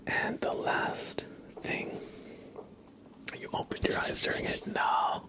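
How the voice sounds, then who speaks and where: A man softly whispering in an unhurried way as an ASMR streamer chatting to his viewers. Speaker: ASMR live streamer, host.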